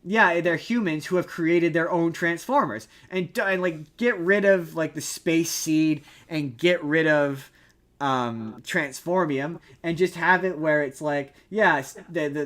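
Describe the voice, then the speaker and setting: A man's voice: fairly high pitch, a bit bright. A man casually discussing movies as a podcast host, emphatic and opinionated in how he argues his points.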